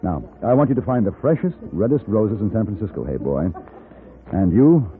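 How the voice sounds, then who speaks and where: An actor playing a suave, commanding gunfighter for hire in a 1950s radio western, with a smooth theatrical delivery. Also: male voice, fairly low pitch, big pitch swings, normal speed. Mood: smitten, eager. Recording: muffled 1950s radio broadcast recording.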